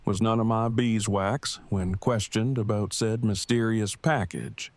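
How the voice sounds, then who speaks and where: A man's voice: lowish voice, steady tone, unhurried, no echo, close to an everyday voice. A man acting in an audio drama as a formal, precise man in his thirties who speaks in long, pedantic phrases and is devoted to his partner. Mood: dry, matter-of-fact.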